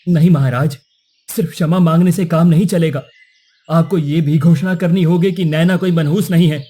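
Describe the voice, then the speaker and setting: Cartoon prince, righteous and formal, male actor, slightly high, mellow and earnest. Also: steady tone, normal speed, slightly rough. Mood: accusing. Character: righteous young prince defending a falsely accused girl. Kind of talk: Hindi cartoon moral-story dialogue.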